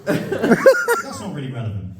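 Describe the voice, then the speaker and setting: A man's voice: high voice, wildly swooping pitch, measured pace, very noisy. A young man from Somerset with a posh English accent, doing a dryly self-mocking stand-up set at a comedy venue. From Somerset, England. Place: comedy venue with live audience. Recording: roomy live stage sound from a distance, loud crowd laughter.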